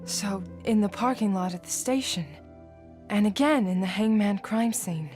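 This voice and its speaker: A woman's voice: medium pitch, moderate pace, a little mellow, faint music behind, natural voice. An actress playing an earnest, questioning younger woman in a video game drama, speaking intently.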